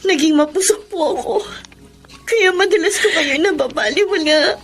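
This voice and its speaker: Casting: remorseful young mother and daughter, very high voice, pleading, emotional radio drama delivery. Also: moderate pace. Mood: tearful, remorseful. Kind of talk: Filipino radio drama acting.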